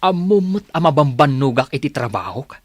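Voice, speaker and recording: A demanding, selfish young man , played by an actor, as the boyfriend in an Ilocano radio drama. His irritable voice swings widely in pitch, recorded dry, with an Ilocano Filipino accent. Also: very animated, fairly quick, no room sound.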